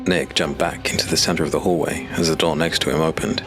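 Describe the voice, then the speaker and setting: A man narrating a scripted dark fantasy story as an audiobook narrator. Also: extremely low pitch, fairly even intonation, quick pace, over music.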